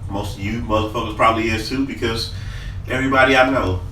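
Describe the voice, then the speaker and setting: A man's voice: medium pitch, steady tone, fast talker, sharp tone, distant mic. Stuffy-nosed man in loose banter. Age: adult parent. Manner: joking, swears freely, mimics people. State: congested, stuffy nose. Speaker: podcast co-host. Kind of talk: casual profane banter. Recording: roomy, off-mic, faint noise.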